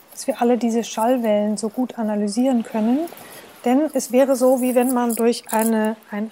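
A woman's voice: fairly high pitch, dark tone. A woman speaking as an expert in an interview, explaining things through rhetorical questions and examples.

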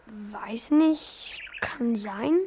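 An actor in a children's radio play voicing an excitable, shy young schoolboy with a candid, hesitant manner. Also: mid-range voice, very slow, background noise.

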